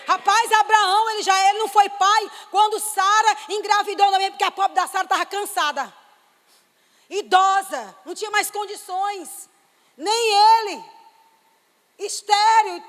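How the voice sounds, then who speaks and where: A woman from Fortaleza, preaching a sermon in a church and building to emphatic, excited exclamations. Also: extremely high pitch. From Brazil, Fortaleza. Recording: reverberant church hall.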